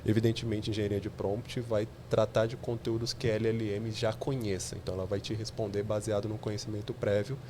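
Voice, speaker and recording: A man's voice: on the low side, flat delivery, a little fast, few pauses, background noise. A man, a podcast guest explaining technical ideas step by step with examples.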